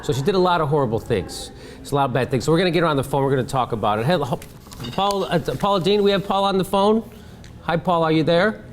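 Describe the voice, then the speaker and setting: Man, comedy host, exasperated, scolding, higher pitch, faint hiss. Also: quick pace. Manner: exasperated, scolding, breaks into laughter. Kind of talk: casual comedic phone bit.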